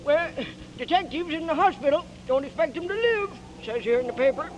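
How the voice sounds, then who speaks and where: A man's voice: extremely high pitch, expressive, a little fast, background noise. An actor playing a chatty, folksy small-town man who rambles and hesitates, in 1940s radio acting, heard on a dull old radio recording. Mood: gossipy.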